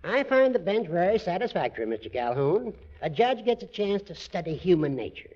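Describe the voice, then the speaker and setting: Older male judge, dry and long-winded, high animated voice, 1940s radio sitcom, muffled old recording. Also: a little fast. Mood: pedantic. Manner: formal, pedantic, orating. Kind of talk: 1940s radio comedy acting. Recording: dull vintage radio recording.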